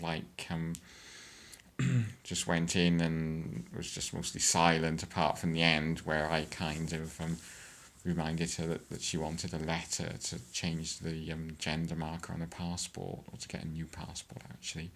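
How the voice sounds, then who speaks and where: A woman, chatting hesitantly in a casual vlog update, with many fillers and frequent throat-clearing. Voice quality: deep voice. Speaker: vlogger.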